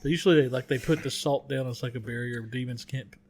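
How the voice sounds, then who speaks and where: A man with a medium-pitched voice chats casually as a podcast co-host. There is some faint noise and ambience behind his voice. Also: fast talker, darkish tone. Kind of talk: casual banter. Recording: clear background noise, room ambience.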